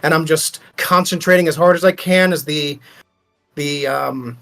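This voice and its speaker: Higher-voiced man playing a tabletop game. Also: unhurried, bright, forceful tone. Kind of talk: casual game table talk. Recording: background music under the voice. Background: music.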